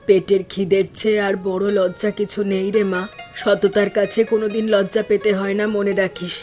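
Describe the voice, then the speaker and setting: A woman voicing a resolute, hopeful poor village mother in an animated cartoon story, earnest and encouraging. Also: medium pitch, brisk, faint music behind, close to an everyday voice.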